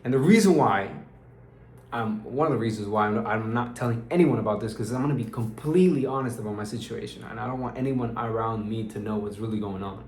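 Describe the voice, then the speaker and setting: A man's voice: lowish voice, quick pace, darkish tone. A young man with an American accent talks through his evening video diary. He rambles, restarts his sentences and drops slang like dude and bro, and he sounds tired. The voice bounces around an echoey room over a faint bed of ambient noise. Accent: American English. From Peru. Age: young adult. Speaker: vlogger. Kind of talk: casual diary vlog.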